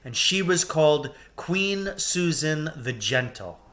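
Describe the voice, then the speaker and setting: A man narrating a children's storybook expressively, in a measured way with dramatic emphasis. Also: on the high side, animated, fairly bright tone. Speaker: reader of a children's novel.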